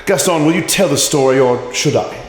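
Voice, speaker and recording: An actor playing a calm, commanding male detective who lays out his reasoning in a radio drama. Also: on the high side, reverberant, big-room sound, close to an everyday voice. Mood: explaining. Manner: measured, explaining.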